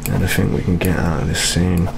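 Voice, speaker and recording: A young man playing a jaded, world-weary British police detective in an ASMR roleplay, speaking in a hushed, deliberate manner. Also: deep voice, creaky. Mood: businesslike, sombre. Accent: British English. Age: 20s. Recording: steady rain ambience noise. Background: rain sounds.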